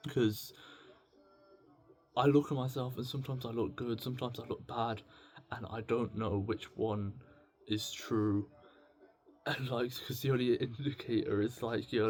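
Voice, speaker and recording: A man's voice: mid-range voice, steady tone, darkish tone. A British man speaks off the cuff, as if into a personal video diary, with faint music playing behind him. His delivery is casual and confessional. He rambles and halts, restarting thoughts and putting himself down as he goes. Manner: rambling, halting, self-deprecating. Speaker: video diarist. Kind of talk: casual unscripted confessional monologue.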